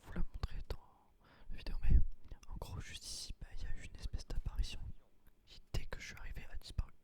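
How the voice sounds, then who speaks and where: A man hosting a gaming video in a hushed, breathy whisper, ASMR style, close on a binaural microphone. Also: a little fast.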